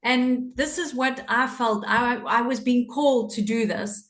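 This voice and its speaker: A middle-aged woman with a South African English accent tells her life story as a podcast guest. Her voice sits a little high, and the room around it is echoey. She talks earnestly and laughs easily. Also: measured pace. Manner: warm, earnest, laughs often. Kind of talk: personal storytelling in an interview.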